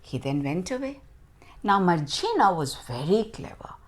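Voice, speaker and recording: A woman storyteller narrating for children with dramatic, deliberate emphasis and questions to her listeners. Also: fairly low pitch, very animated.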